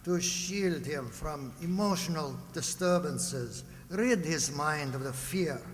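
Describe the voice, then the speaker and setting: A man playing a formal, condescending psychiatrist who lectures in measured phrases in an old-time radio drama. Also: on the high side. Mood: lecturing. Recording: echoey hall, background noise.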